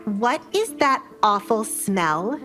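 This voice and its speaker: A spoiled young noblewoman played by a woman narrator in an audio drama. She is haughty and quick to take offence, with a spiteful, indignant edge. Music plays underneath. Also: mid-range voice, big pitch swings, pushed and bright, heavily acted. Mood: indignant disgust. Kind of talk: audio drama character acting.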